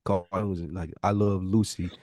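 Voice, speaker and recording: A man's voice: deep voice, level tone, normal speed, a little mellow, no echo, clean studio sound. A man co-hosting a podcast in casual banter, talking in colloquial slang with some swearing and often saying "man". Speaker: podcast co-host.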